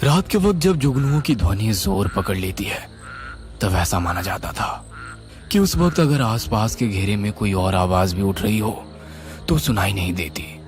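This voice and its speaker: A man tells a scripted horror story with suspense and drama. His voice is on the low side and swings expressively in pitch. Music plays clearly behind him. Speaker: storyteller, narrator.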